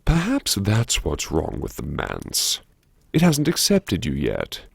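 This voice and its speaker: A man narrating an audiobook in the first person, dramatically, with a brooding, wry and deliberate delivery. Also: deep voice, wildly swooping pitch, creaky, slightly rough, no room sound.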